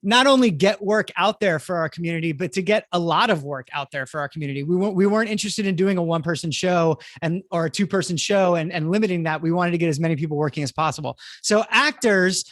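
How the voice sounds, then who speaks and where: High-pitched man, chatty panel host. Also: quick pace, hardly any pauses, a bit bright, dry recording, studio quality. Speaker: host of a theater livestream panel. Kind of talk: casual panel chat over a video call.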